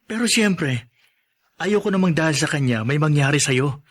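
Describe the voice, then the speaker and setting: A man's voice: on the high side, animated, moderate pace, fairly bright tone. An actor playing a protective, worried young husband and expectant father in a radio drama. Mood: firm, protective.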